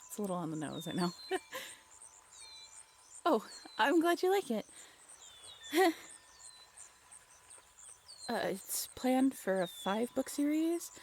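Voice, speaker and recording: A woman acting a bashful, affectionate young writer on a date in an intimate audio roleplay, halting and playfully teasing. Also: high voice, very animated, darkish tone, a little hiss or hum, exaggerated voice. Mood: shyly pleased.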